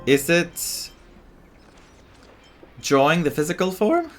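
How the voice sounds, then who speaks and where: A man's voice: a little high, big pitch swings, takes it slowly, soft background music. A man giving casual, rambling, reactive commentary as he streams a game playthrough. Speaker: gaming streamer.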